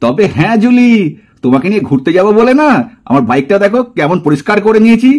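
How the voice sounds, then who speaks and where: A man's voice: high-pitched, very animated, fast, a little mellow. A man playing an eager, easily bossed young boyfriend in a puppet cartoon, coaxing and apologetic with some English mixed in. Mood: apologetic, soothing. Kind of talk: puppet cartoon drama acting.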